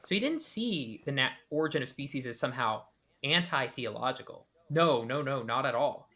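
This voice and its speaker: Male podcast host reacting to a clip, bright voice, analytical, lots of restarts. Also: mid-range voice, animated, fairly quick. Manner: analytical, with many restarts and hedged speculation. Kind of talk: reaction commentary.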